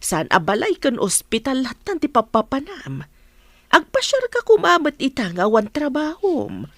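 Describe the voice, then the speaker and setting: A woman's voice: high-pitched, wildly swooping pitch, moderate pace, dry recording, exaggerated voice. A woman playing a caring, coaxing middle-aged mother in an audio drama. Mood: concerned. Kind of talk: Ilocano audio drama acting.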